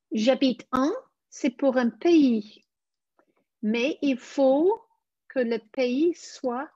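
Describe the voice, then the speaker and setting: A woman teaching a live online French lesson, speaking slowly and deliberately and spelling out careful examples for her learners. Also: on the high side, darkish tone, no room sound. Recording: dull, dry lo-fi stream audio.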